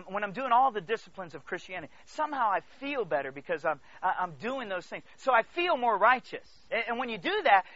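A man's voice: very high-pitched, no echo. A man from the Congo preaching to a congregation in an emphatic way, repeating phrases and checking in with his listeners, on slightly dull audio. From Congo, Central Africa. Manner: emphatic, swings between hushed asides and loud declarations. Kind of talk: animated sermon to a congregation.

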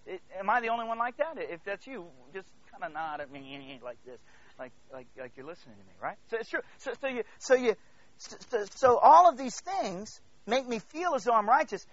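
A man's voice: high-pitched, sing-song, fairly quick. A man from the Congo preaching to a congregation in an emphatic way, repeating phrases and checking in with his listeners, on slightly dull audio. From Congo, Central Africa. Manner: emphatic, swings between hushed asides and loud declarations. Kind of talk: animated sermon to a congregation.

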